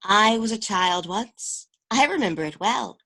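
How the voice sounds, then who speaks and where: Woman acting melodramatic, animated misfit Acetone. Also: medium pitch, a little slow. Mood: wistful. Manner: dramatic, declamatory. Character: earnest, melodramatic female misfit named Acetone. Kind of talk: mock-archaic amateur radio play acting.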